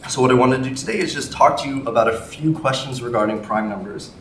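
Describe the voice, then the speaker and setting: A young man from Canada with a Canadian accent, giving a public maths lecture in a relaxed, friendly way with frequent ums. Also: medium pitch, fast talker. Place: lecture hall. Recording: echoey hall from far off, faint noise.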